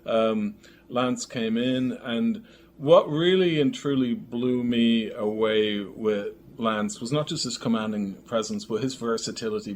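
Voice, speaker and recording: A man in a casual interview, speaking as a creative guest in a reflective, halting way. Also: mid-range voice. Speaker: audio drama creator and filmmaker, interview guest. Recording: background noise, room ambience.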